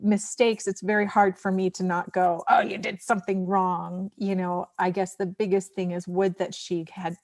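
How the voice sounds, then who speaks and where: A woman's voice: lowish voice, flat delivery, normal speed, few pauses, a little mellow, no echo. A woman talking reflectively in a discussion, often restarting and repeating phrases as she works out her thoughts. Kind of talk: reflective discussion in a panel conversation.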